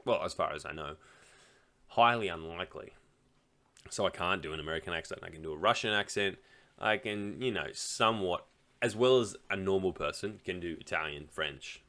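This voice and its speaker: A man's voice: low-pitched, moderate pace, sharp tone. An Australian man talking casually while playing online chess, rambling and thinking aloud, often laughing. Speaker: chess streamer.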